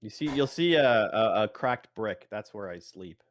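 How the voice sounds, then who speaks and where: A man's voice: lowish voice, lively intonation, normal speed, no echo, clean studio sound, natural voice. A man voicing a long-lost daughter in a tabletop roleplaying game. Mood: coy, plaintive. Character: long-lost daughter meeting her father.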